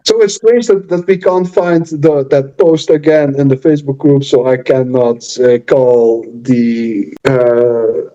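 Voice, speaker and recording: A man with a Dutch accent hosting a casual live chat, talking in halting restarts and earnest promotion. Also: mid-range voice, warm tone, muffled recording. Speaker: channel host and author.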